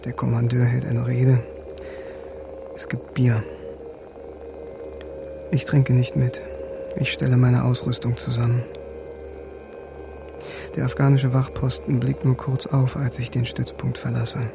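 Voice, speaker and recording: An actor playing a cold, haunted soldier who narrates in a terse, detached, deliberate way in a radio drama. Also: male voice, mid-range voice, completely flat delivery, creaky, noisy background. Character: cold, haunted male soldier turned lone avenger. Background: music.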